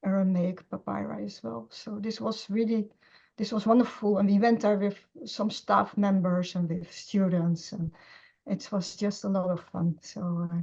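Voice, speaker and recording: A middle-aged woman talks as an academic guest in an interview. She hesitates often and restarts sentences, in a mellow, warm voice. The sound is dull and lo-fi, as over a video call. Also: medium pitch, steady tone, normal speed, gravelly. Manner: hesitant, many fillers and restarts. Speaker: interview guest, scholar of Semitic languages. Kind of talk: conversational interview.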